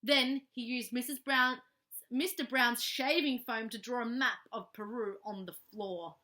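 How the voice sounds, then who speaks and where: Bright, high-voiced woman reading a story. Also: unhurried. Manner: animated, adds playful asides. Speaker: storybook reader. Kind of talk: expressive children's story narration.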